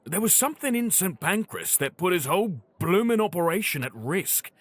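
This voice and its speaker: A man acting a streetwise, gruffly kind ex-crook in an audio drama. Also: sits high, very animated, normal speed, dry recording. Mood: knowing, gruff.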